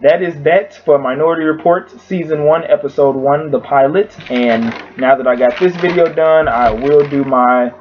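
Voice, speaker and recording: A man with a hoarse voice casually recapping a TV episode at home, rambling with lots of fillers. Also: a little high, fairly even intonation, moderate pace, few pauses, dull audio, slight background noise. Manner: rambling, filler-heavy storytelling. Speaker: YouTube reviewer.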